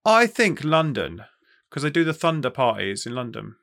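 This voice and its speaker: A man's voice: mid-range voice, big pitch swings, normal speed, creaky, a bit bright. A man interviewing a guest as host, talking in a casual, informal way. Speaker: interviewer, music show host.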